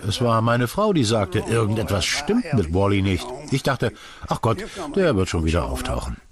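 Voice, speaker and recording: A man's voice: lowish voice, sing-song, normal speed, music in the background. A man voicing a worried, plain-spoken father in dubbed documentary testimony. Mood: resigned. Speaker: voice-over for an interviewee.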